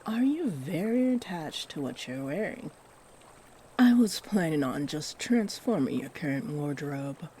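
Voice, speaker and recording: A woman voicing a kindly fairy in a fantasy roleplay, gently encouraging and fussing over the listener with sweet endearments. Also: low voice, expressive, a little slow, a little hiss or hum. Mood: thoughtful, fussing. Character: kindly, doting fairy who gives magical makeovers. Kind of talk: fantasy roleplay audio drama, addressing the listener.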